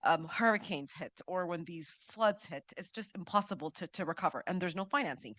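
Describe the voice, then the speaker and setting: A woman from Ethiopia puts a question to a seminar speaker. She talks quickly, laying out her point as a climate delegate would. Her voice comes across dry, with very little room sound around it. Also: fairly low pitch, lively intonation, fairly bright tone, no echo. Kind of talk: spoken audience question.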